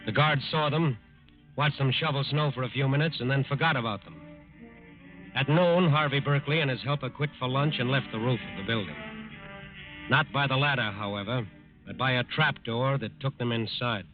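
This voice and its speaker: A man narrating a 1940s radio crime drama in a measured, dramatic, grave manner. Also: mid-range voice, close to an everyday voice. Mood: grave, suspenseful. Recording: narrow-band old radio recording, music behind.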